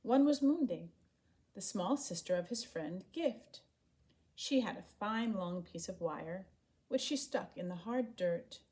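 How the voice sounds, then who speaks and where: A woman reading a children's story aloud in an expressive, animated way, acting out the dialogue. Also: medium pitch, slow. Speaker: storybook reader.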